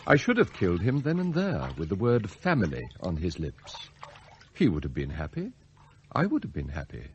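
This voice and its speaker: Formal butler, very expressive pitch. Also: male voice, normal speed, highs cut off, slight background noise. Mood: bitter. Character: immaculate butler, quietly bitter and murderously scheming. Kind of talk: radio drama narration and dialogue.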